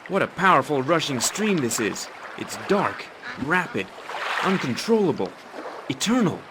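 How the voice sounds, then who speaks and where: A young actor playing a vain, boastful fairy-tale hero who talks in a brash, impatient way in a game. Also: male voice, a little high, sing-song, unhurried, noisy background. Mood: arrogant. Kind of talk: game voice acting.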